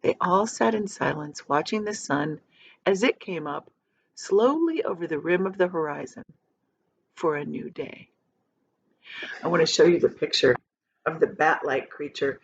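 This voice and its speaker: A woman teacher reading a children's story aloud in a deliberate, dramatic manner. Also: deep voice, expressive, creaky, highs cut off, slight background noise. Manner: deliberate, dramatic, shows pictures to listeners.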